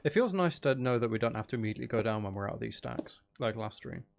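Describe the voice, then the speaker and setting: A man's voice: medium pitch, animated, fast, vocal fry. A man giving casual livestream commentary on a game, thinking aloud to his viewers in a musing way. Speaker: game streamer.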